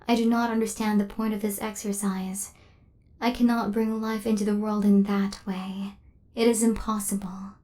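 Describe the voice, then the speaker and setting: A woman playing an affectionate, literal-minded killer robot in an intimate ASMR roleplay, speaking softly and often near a whisper, in measured, formal phrasing. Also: medium pitch, lightly acted. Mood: flat, insistent.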